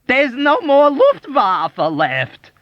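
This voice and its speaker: A man's voice: high-pitched, huge pitch swings, slow, no echo, voice pushed up, exaggerated voice. A man voicing a whining, self-pitying male army doctor in a plaintive, complaining voice. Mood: wailing. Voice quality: whiny, plaintive. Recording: dull dry audiobook recording.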